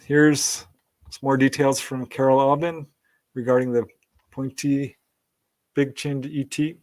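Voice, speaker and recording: Guy hosting a q&a show, reads questions fast, dry close sound. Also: medium pitch, little pitch movement, darkish tone, no echo. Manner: brisk, reads questions aloud, light laughs. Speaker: podcast host reading listener questions. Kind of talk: Q&A podcast hosting.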